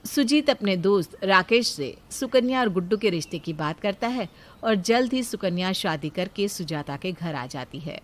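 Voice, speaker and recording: A woman's voice: medium pitch, lively intonation, moderate pace. A woman reading scripted narration as the storyteller of a moral tale.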